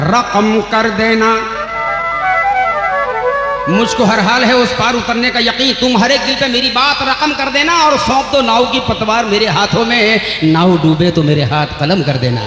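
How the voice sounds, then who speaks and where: A man's voice: very high voice, a little slow, very echoey, in a large hall, over music. A man who performs ghazal and qawwali recites poetry to an audience in a declamatory stage manner, on an old cassette recording. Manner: declamatory, addresses the audience, repeats lines. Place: stage program at an urs fair.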